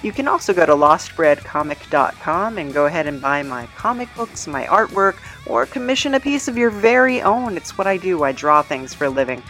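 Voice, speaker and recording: A woman's voice: fairly low pitch, lively intonation, brisk, hardly any pauses, a bit bright, soft background music. A woman hosting a podcast, reading out credits and plugs in a casual, chatty, self-deprecating way with sing-song emphasis. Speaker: podcast host and producer.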